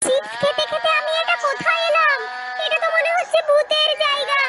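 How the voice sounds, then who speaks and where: A performer voicing an excitable little child in a cartoon, with childish exclamations. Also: very high voice, a little fast, very echoey. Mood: frightened. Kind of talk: exaggerated children's cartoon acting. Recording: loud music behind the voice.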